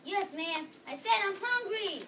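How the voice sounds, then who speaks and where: Woman as earnest, boastful folk-hero boy, reader's theater, high voice, distant noisy recording. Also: lively intonation, unhurried. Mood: earnest bragging. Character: big, bragging, polite newborn boy folk hero. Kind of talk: reader's theater script reading. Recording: distant mic with clear background noise.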